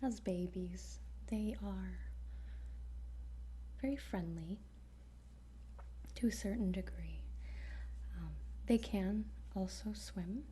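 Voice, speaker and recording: A woman whispering an ASMR roleplay as a dragon trainer, gentle and hesitant with frequent pauses. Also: medium pitch, slight background noise. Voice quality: breathy whisper.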